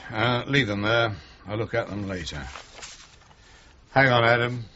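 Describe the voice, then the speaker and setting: An actor playing a gruff, blunt, middle-aged chief inspector in a radio crime drama, weary and disgruntled, clipped in his speech. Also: medium pitch, a little slow, sharp tone, slight background noise. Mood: brisk, curt. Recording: muffled 1980s radio drama recording.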